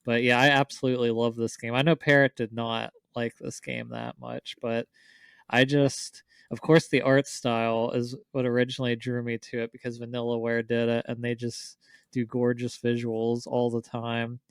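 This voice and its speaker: Clear, even-toned male podcast co-host. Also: medium pitch, normal speed, no room sound. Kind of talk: casual podcast discussion.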